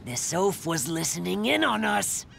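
A man's voice: sits high, sing-song, a little slow, vocal fry, fairly bright tone, slight background noise, full character voice. An actor playing a sly, sneering henchman to a villain in a video game. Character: sinister male henchman of a scheming merchant. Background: music.

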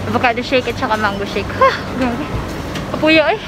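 A woman's voice: on the high side, animated, brisk, a crowd in the background. A young woman vlogging on a bike ride, chatty, laughing often and out of breath, filmed on a phone at arm's length outdoors. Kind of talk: casual vlog chat. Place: outdoors on city streets and at a small cafeteria. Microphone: phone camera at arm's length. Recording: traffic noise outdoors.

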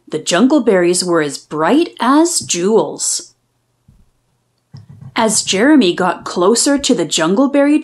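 Slow, lilting woman reading to children. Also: a little high. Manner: expressive, deliberate, sing-song phrasing for preschoolers. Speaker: children's read-aloud storyteller. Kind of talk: scripted picture-book read-aloud for young children.

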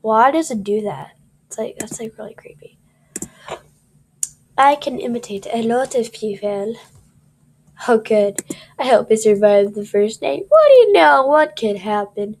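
A woman's voice: on the high side, lively intonation, measured pace, creaky, pauses often, a little mellow. A young woman with a scratchy voice doing casual gameplay commentary, jittery and cursing as she talks to viewers.

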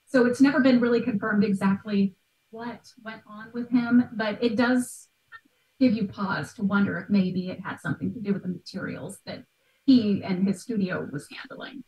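A woman's voice: mid-range voice, normal speed, darkish tone. A woman in her thirties thinking aloud as an expert guest in a conversational interview, on a video call from a room at home. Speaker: guest expert, art history podcaster. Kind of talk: conversational question-and-answer interview. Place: small bright room at home. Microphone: video call, computer microphone. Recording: echoey room, distant from mic.

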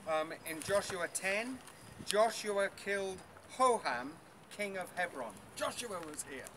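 A man's voice: high voice, lively intonation, measured pace, sharp tone. A middle-aged man leading a group tour outdoors, chatty with many ums and quizzing his listeners. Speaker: tour guide. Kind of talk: outdoor guided tour talk. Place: outdoors by an old stone wall. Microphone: far camera. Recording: noisy outdoor recording.

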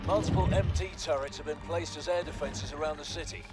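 An actor playing a focused soldier on a combat drop, using clipped military phrasing in a video game. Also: male voice, fairly high pitch, steady tone, fast, natural voice. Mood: focused, businesslike. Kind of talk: video game mission chatter. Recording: loud music and vehicle noise.

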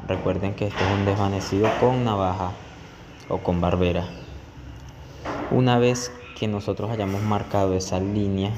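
A man giving step-by-step instructional narration as a barber teaching a tutorial. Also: fairly low pitch. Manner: explanatory, step-by-step. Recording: echoey room with clear background noise.

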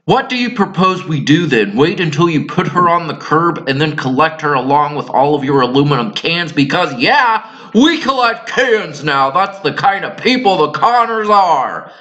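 A man voicing a sarcastic, deadpan, defiant adult daughter from a working-class cartoon family. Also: on the high side, moderate pace, hardly any pauses, lo-fi sound.

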